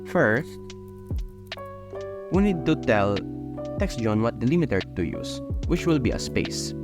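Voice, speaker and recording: A young man with a Filipino accent talks through spreadsheet functions one step at a time, his pitch rising and falling in a lively way. The tone stays instructional and even-paced, and clear guitar music plays behind his voice. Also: a little slow. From Philippines. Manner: steady explanatory step-by-step delivery. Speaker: tutorial host. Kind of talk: screen-recorded software tutorial.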